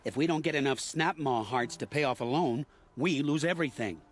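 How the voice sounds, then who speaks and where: A man's voice: medium pitch, brisk, close to an everyday voice. An actor playing a desperate, stubborn farmer turned hunter in a video game, defensive and insistent in his delivery. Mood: bitter, desperate. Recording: faint ambient noise under the voice.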